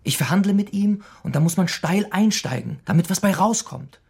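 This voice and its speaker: An actor playing a brash, stubborn young blackmailer in a radio crime drama, pushy, defiant and slangy. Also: male voice, high-pitched, animated, normal speed, creaky, a little mellow, slightly rough, heavily acted. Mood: urgent, persuading. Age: young adult.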